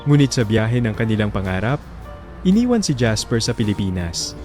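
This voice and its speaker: A man with a Filipino accent narrating a radio drama in a solemn, measured storytelling style. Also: lowish voice, sing-song, darkish tone. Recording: music bed behind the voice.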